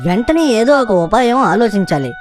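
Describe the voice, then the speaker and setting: Woman voicing a lively, high-voiced clever monkey. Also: normal speed, faint music behind, lightly acted. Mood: sly, friendly. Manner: animated, expressive. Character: clever, quick-witted, friendly monkey. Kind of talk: children's cartoon voice acting.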